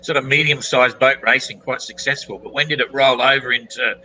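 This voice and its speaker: A man's voice: mid-range voice, steady tone, brisk, bright, forceful tone, slight background noise, low-quality recording. A man hosting an interview, asking loose, rambling questions with restarts. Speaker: podcast host.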